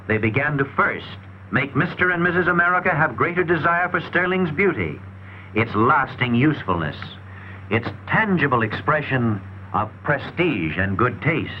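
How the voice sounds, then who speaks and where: Male narrator for a 1940s sales training film: emphatic, lively intonation, dull lo-fi period sound. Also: medium pitch, a little hiss or hum. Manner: emphatic, rhetorical, salesmanlike delivery.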